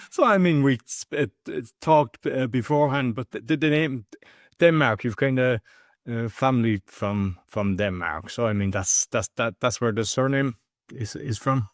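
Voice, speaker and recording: A man hosting a podcast interview, asking questions in a halting way with many restarts. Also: mid-range voice, expressive, dry recording, studio quality. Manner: halting, restarts and repeats words. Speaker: podcast host. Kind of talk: casual interview talk.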